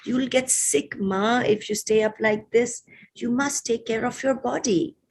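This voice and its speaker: Woman as a worried, wheedling daughter, raised pitch. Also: very animated, a little slow, slight background noise. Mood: anxious coaxing. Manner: urgent, wheedling appeals. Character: worried adult daughter coaxing her elderly mother. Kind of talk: dramatised poetry recitation.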